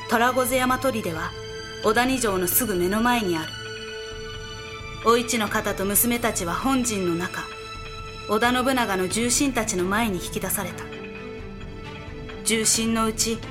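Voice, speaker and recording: An actress playing a solemn narrator who reads scripted history in a samurai-era voice drama. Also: female voice, fairly high pitch, unhurried, long pauses, music in the background, natural voice.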